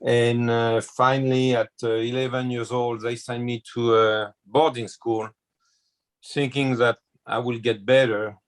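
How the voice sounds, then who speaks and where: Slow-speaking French man in his sixties. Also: medium pitch, flat delivery. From France. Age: 60s. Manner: slow, hesitant, full of fillers. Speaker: chef. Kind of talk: interview storytelling.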